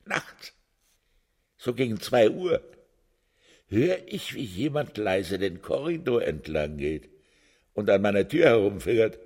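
A husky, pleading accused prisoner. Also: male voice, very animated, slow, pauses often, darkish tone, exaggerated voice. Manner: pleading, emotional, swinging pitch. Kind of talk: radio drama acting.

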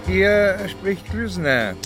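An actor playing a wry, hard-boiled private detective who narrates a radio drama in a dry, punchy way. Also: male voice, high-pitched, big pitch swings, slow, over music, heavily acted. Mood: wry, self-assured.

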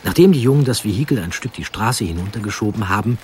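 A man reading a scripted historical narration in a measured, articulate manner. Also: fairly low pitch, lively intonation, a little hiss or hum. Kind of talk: scripted documentary storytelling narration. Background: music under the voice.

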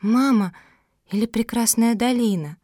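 A woman's voice: on the high side, measured pace, dark tone, no echo, studio quality, pitched above the performer's own voice. A woman voicing a naive, passionate teenage puppy girl in a storybook, fervent and pleading. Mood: torn, distressed.

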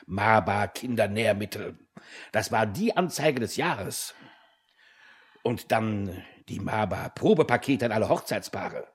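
An actor playing a pompous, self-satisfied general director giving a declamatory boardroom speech in a radio play, husky-voiced. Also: male voice, on the low side. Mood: pompous, pleased.

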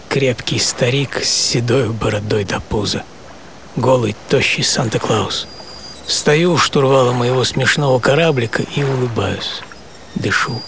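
A man acting a hardy, serene seventy-year-old sailor in an audio play monologue, reflective and intimate, with savoring pauses. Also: mid-range voice, creaky, a bit bright, a little hiss or hum. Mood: wry, content. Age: 70s. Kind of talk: first-person dramatic monologue in an audio play. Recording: music behind the voice.

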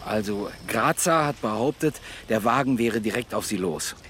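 An actor playing a calm, caring police chief inspector in a German radio crime drama, with a matter-of-fact, understated delivery. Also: male voice, lowish voice, moderate pace, a little hiss or hum.

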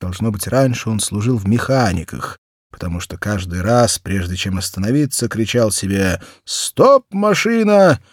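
A man narrating a children's story as a scripted audiobook, with expressive, measured delivery and deliberate pauses. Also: on the low side, breathy edge, dry recording, studio quality.

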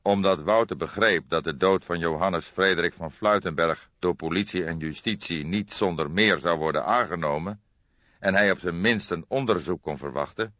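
A man reading a crime story as an audiobook narrator, in a measured storytelling manner. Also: low voice, fairly bright tone, dry recording, lo-fi sound.

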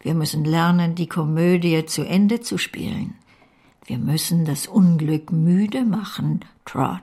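An actress playing a blunt but loving older great-aunt in a German radio drama, dry and emphatic in a theatrical period style. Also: female voice, on the low side, a little slow, warm tone, breathy edge. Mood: consoling, resolute. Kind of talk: German radio play acting of a period novel.

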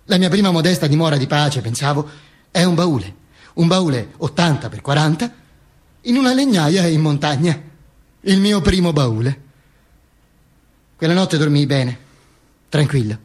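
Man acting a high-pitched, theatrical dead dictator. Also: animated, natural voice. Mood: solemn irony. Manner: emphatic, obsessively repetitive, deliberate pauses. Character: dead Mussolini telling his posthumous autobiography, theatrical and ironic. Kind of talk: dramatic monologue storytelling, radio theatre.

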